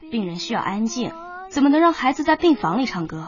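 Fast-talking, firm woman doctor. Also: a little high, big pitch swings. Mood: stern. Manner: businesslike, firm. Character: brisk, stern female hospital doctor. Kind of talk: radio drama acting. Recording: dull lo-fi, faint noise.

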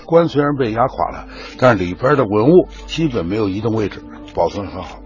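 A man's voice: fairly low pitch, big pitch swings, brisk. A middle-aged man explaining as an expert in an unscripted interview. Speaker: archaeology expert. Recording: muffled interview clip, faint music.

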